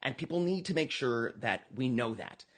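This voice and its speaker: An American man speaking as an interviewed political candidate, earnestly explaining his campaign pitch in a conversational, emphatic way. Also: animated, moderate pace.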